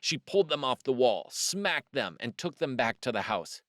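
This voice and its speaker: A man reads a children's tale in a medium-pitched voice with very animated intonation. He leans expressively on key words, like a storyteller with young listeners. The sound is dry. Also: moderate pace, no room sound. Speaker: storyteller, host of a read-aloud series.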